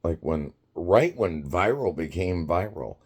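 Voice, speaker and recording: A man in his fifties telling a casual story in a rambling, halting way, often laughing as he talks. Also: low-pitched, animated, darkish tone, breathy edge. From United States. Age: 50s.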